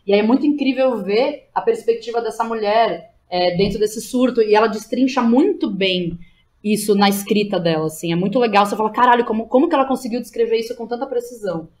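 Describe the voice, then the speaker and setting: A woman's voice: fairly high pitch, fast, darkish tone. A woman with a Brazilian accent speaking casually as an interview guest, colloquial, effusive and emphatic. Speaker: podcast guest, playwright and educator.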